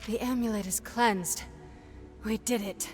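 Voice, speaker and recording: Strong-willed, wry female adventurer for a fantasy game played by a woman; mid-pitched, pointed delivery, long reverb. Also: steady tone, a little slow, lightly acted. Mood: wary. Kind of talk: dramatic game cutscene acting. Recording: reverberant, faint music behind.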